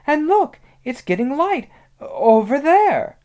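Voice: mid-range voice, big pitch swings, moderate pace, darkish tone, pitched above the performer's own voice, natural voice. A storybook narrator voicing a practical, fussy schoolgirl, the elder sister of the family. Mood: urgent.

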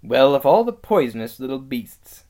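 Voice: mid-range voice, sing-song, a little slow, darkish tone, pitched above the performer's own voice. A storybook narrator voicing a hearty, decisive schoolboy, the eldest brother. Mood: indignant.

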